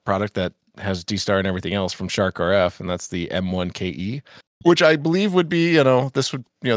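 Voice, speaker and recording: Low-voiced man with a head cold. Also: no room sound, studio quality. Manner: rambling, many fillers and restarts, laughs often. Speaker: podcast co-host. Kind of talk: casual chat about amateur radio news.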